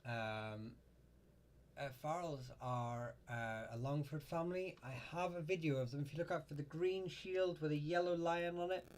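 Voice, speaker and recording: A man hosting a casual live Q&A, answering viewer questions in a halting way with lots of ums and uhs. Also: on the high side, steady tone.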